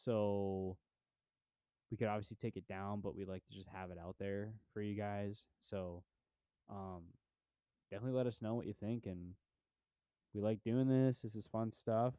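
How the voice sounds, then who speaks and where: A man's voice: fairly low pitch, steady tone, normal speed, pauses often, mellow, clear, resonant tone, dry recording. A young man co-hosting a podcast, chatting casually about movies in a laid-back, rambling way with lots of fillers. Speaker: podcast co-host. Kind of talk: casual movie review chat.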